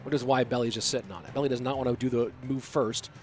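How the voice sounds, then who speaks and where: A man's voice: medium pitch, fast, faint music behind. Stuffed-up male esports caster. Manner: relaxed, chatty, answers chat questions between plays. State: stuffy nose from a cold. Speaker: esports commentator. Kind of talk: solo play-by-play casting and reading chat.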